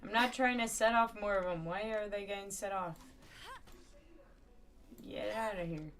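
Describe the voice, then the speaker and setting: A woman's voice: mid-range voice, moderate pace, fairly bright tone. A woman doing casual live commentary as she streams a video game. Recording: noisy mic with keyboard typing.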